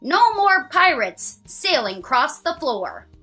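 A woman in her thirties reading a children's picture book aloud, expressive and sing-song, with drawn-out lines and counting along. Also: high-pitched, soft background music.

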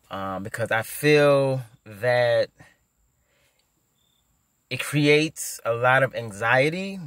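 A man's voice: mid-range voice, a bit bright, no room sound. A man in his thirties who stutters, giving casual advice into a phone camera from his parked car, with repeated words and many fillers. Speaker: vlogger. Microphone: phone camera at arm's length.

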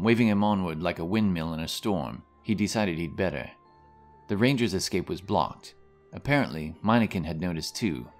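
A man with a very low voice narrates a fantasy tale in storybook fashion. Soft music plays faintly beneath his scripted reading. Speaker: audiobook narrator.

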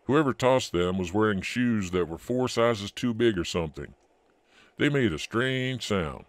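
A man's voice: lowish voice, animated, measured pace, dry recording. A man playing a friendly, earnest blind street informant in an old-time radio drama, talking in a folksy way.

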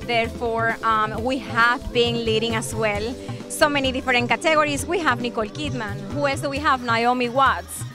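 A woman's voice: fairly high pitch, steady tone, normal speed. A woman with a Colombian Spanish accent, a festival PR advisor, speaking promotionally on an outdoor red carpet. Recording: music playing behind.

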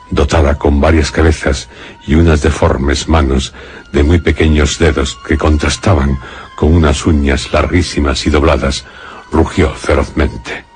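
A man narrating a suspense radio drama in a deliberate, solemn, dramatic way. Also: rumbling low, a little mellow. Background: faint music.

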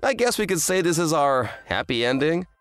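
A woman voicing an earnest, kind teenage boy, an excitable manga fan, in an anime-style romantic comedy dub. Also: low voice, brisk, clear, resonant tone, faint music behind. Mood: narrating calmly. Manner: earnest, exclamatory.

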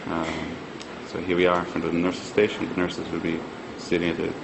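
A man's voice: low-pitched, monotone, a little fast. A man giving a live product demonstration, explaining conversationally with some hesitation. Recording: clear room hum and ambient noise.

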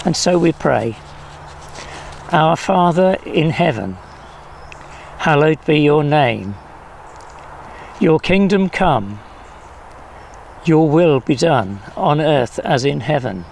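An older man solemnly reading prayers at a church service in measured phrases, recorded outdoors. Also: on the high side, animated, gravelly, a little mellow. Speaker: prayer leader. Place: outdoors in a leafy field or garden. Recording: faint outdoor background noise.

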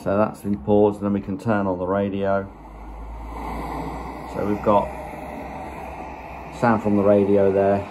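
A man's voice: lowish voice, monotone, unhurried, pauses often, over music. A man giving a casual, unscripted product review in a hesitant, conversational way, recorded indoors on a phone. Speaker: video channel host, product reviewer. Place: small room indoors. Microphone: phone microphone, held behind the camera.

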